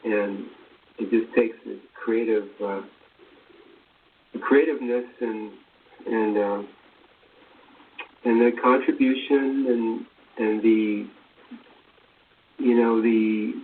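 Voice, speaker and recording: A man giving a rambling spiritual talk in a halting, repetitive, earnest manner. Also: lowish voice, fairly even intonation. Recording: tinny phone line, distant, faint noise.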